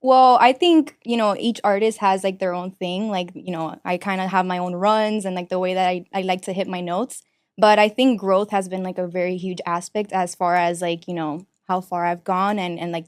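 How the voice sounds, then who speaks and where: A woman's voice: on the low side, fairly even intonation, moderate pace, no echo, studio quality. Chatty young woman from Miami. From Miami, United States. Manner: chatty, many fillers and restarts. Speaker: singer-songwriter guest. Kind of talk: casual interview answers. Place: podcast studio.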